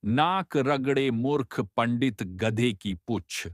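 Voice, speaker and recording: Slow-spoken, irritable holy man played by a man. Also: mid-range voice, no echo, studio quality. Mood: angry cursing. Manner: indignant, declaiming. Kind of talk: cartoon voice acting.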